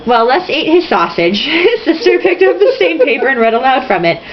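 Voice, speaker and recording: Woman reader, highish and very animated, casual and sarcastic, theatrical delivery, lo-fi home-style sound. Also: a little fast. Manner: theatrical, mocking. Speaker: host reading a novel aloud with jokey commentary. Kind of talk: casual dramatic read-aloud with sarcastic asides. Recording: dull lo-fi sound, faint noise.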